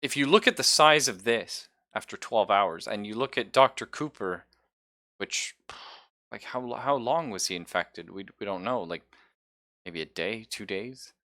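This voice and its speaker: A man acts as game master at a casual tabletop session. His voice sits a little low and has a bright, forward edge, recorded very dry. He thinks aloud informally and swears now and then. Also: expressive, unhurried, no room sound.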